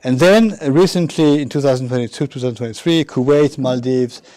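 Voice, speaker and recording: A male lecturer speaks to a room of students in a formal, halting way, stopping often on fillers and restarting his phrases. His voice is dark and mellow, sitting at an ordinary pitch for a man. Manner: formal, addresses audience directly. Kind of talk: university lecture.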